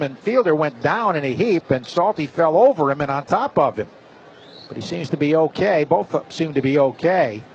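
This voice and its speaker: Male baseball play-by-play announcer, fairly high register, live radio call, slightly muffled broadcast with faint ballpark noise. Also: gravelly.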